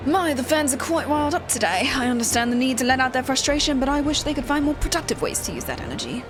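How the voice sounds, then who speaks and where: A woman's voice: sits high, big pitch swings. Game role: woman as urbane male chronicler, curious, polished manners, music underneath. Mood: courteous, intrigued. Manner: polite, measured, refined.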